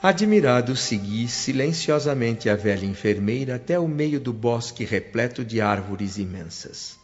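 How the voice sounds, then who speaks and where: A man narrating a radio drama in the first person as an earnest spirit, with a Brazilian accent and a solemn, deliberate, literary delivery. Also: medium pitch, animated, natural voice. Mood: solemn, admiring. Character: earnest male spirit narrator recounting his own learning. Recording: dull lo-fi audio, long hall reverb. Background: background music.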